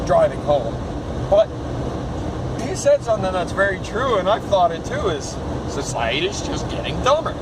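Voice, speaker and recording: A nineteen-year-old man vlogging from his car on the drive home, ranting casually, rambling and swearing freely. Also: a little high. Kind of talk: casual rant to camera. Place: in a car after work. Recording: loud car noise. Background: loud road and engine noise.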